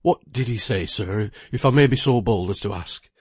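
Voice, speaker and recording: A man's voice: lowish voice, normal speed, darkish tone, no echo, close to an everyday voice. A man voicing an anxious, earnest old working man.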